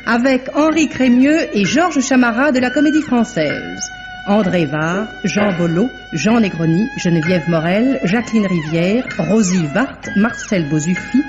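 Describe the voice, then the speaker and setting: A woman's voice: mid-range voice, unhurried. Woman announcer over music, dull old radio. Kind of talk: scripted 1950s radio credits announcement. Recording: dull lo-fi vintage radio recording.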